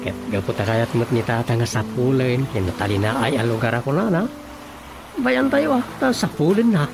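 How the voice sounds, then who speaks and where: A man acting an earnest family man who explains things in a measured way in an Ilocano radio drama, over music. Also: mid-range voice, expressive, vocal fry.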